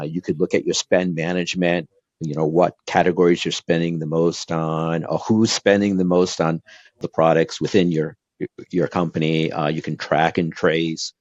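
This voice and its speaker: A man's voice: low voice, darkish tone, dry recording, highs cut off. A man from Brazil whose first language is Portuguese, giving earnest advice as an interview guest with lots of fillers and restarts. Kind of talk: casual interview talk.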